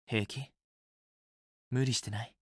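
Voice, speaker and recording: A man's voice: medium pitch, steady tone, takes it slowly, a bit bright, breathy edge, dry recording, studio quality, full character voice. A man playing a possessive, teasing young student boyfriend in a romance game, with an intimate, assertive, coaxing delivery. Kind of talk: Japanese otome game voice acting, intimate romantic dialogue.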